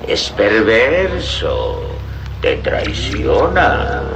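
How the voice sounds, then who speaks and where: A slow, sinister hypnotist villain. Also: male voice, medium pitch, full character voice. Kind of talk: 1960s radio drama acting. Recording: noisy old radio recording.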